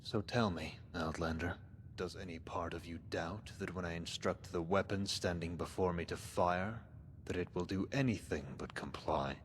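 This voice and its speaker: An actor playing a cold, menacing post-apocalyptic cult overlord, speaking in a pompous, archaic, deliberate manner as scripted game dialogue. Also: male voice, sits low, long reverb tail, slight background noise, natural voice. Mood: commanding.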